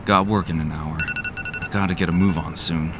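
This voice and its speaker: A man's voice: sits low, steady tone, moderate pace, over loud music. A man playing a jaded, cynical office worker who narrates an audio drama in a weary, sardonic, profane way.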